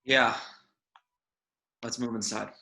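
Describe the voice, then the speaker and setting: Man reading as commanding space captain, very bright forceful tone, clipped, steady, sci-fi table read. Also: medium pitch, slow, creaky, echoey room, heavily acted. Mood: terse reporting. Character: steady, commanding spaceship captain exploring a derelict alien ship. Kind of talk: amateur screenplay table read.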